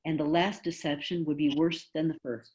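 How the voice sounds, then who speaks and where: A woman's voice: low voice, steady tone, normal speed, a little mellow, lightly acted, low-quality recording. A woman reading the parts of crowd members and priests in a dramatic scripture reading. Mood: wary, formal. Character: mocking crowd, bystanders and priests of biblical Jerusalem. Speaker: volunteer reader in a church Passion reading.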